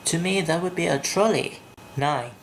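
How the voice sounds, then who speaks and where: A man from Vietnam with a Vietnamese accent, talking slowly and deliberately in a home video blog, blunt when he gives his opinions. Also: a little high, slight background noise, rough recording. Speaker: vlogger. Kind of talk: casual video blog, reading a quiz and ranting. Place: indoors at home at night, kept quiet.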